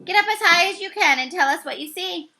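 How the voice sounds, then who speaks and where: A woman's voice: very high voice, expressive, a little fast, sharp tone, pitched above the performer's own voice, heavily acted. A woman voicing a bossy, wry but patient beagle scout leader in a children's storybook. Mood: calling, wry. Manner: instructing, exclaiming, sometimes sarcastic. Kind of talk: children's storybook read-aloud.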